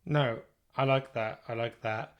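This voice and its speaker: Relaxed male podcast host. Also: medium pitch, a little slow. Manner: curious, relaxed questioning with fillers. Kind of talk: casual interview chat.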